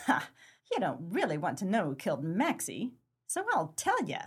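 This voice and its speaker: A woman's voice: on the low side, wildly swooping pitch, normal speed, husky, heavily acted. A woman playing a hot-tempered, defiant gangster's moll in a 1930s pulp crime radio play, tough-talking and slangy. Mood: taunting, fierce.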